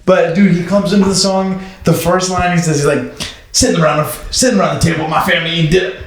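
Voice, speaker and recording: A young man, a guest on a comedy podcast, telling stories in animated, swear-heavy casual talk full of "dude" and "bro". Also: high voice, fast, reverberant.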